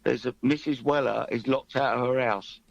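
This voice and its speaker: A man's voice: medium pitch, steady tone, moderate pace, creaky, dry recording, lo-fi sound. A middle-aged man with a southern English accent, a musician guest chatting casually on the radio in a blokey way and repeating himself. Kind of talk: casual chat and anecdote.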